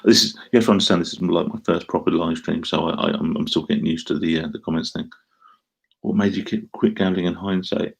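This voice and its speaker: Middle-aged Essex bloke, gravelly and laid-back, reading out chat and rambling through answers. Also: male voice, sits low, fairly even intonation, fast talker. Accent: Essex English. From Essex, England. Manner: rambling, self-deprecating, frequent ums and restarts. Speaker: live streamer answering chat. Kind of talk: casual live stream chat.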